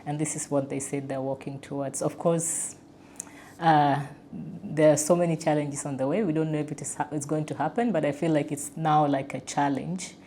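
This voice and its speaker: Female discussion panelist, deep for a woman, reflective, halting delivery, slightly noisy indoor recording. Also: steady tone, warm tone. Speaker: podcast panelist, peace facilitator. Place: indoor room around a wooden table. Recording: clear background noise, room ambience.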